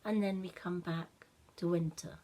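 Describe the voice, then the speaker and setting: A gentle magic snowman voiced by a woman from a children's storybook. He talks very slowly and patiently, with a wistful, resigned air. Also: lowish voice, fairly even intonation. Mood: sad, resigned.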